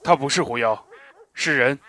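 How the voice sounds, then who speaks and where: Brief: male actor as a blunt, hasty monster-hunting swordsman in an audio drama. Mid pitch, bright tone. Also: normal speed, a little hiss or hum, natural voice. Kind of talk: amateur audio drama acting.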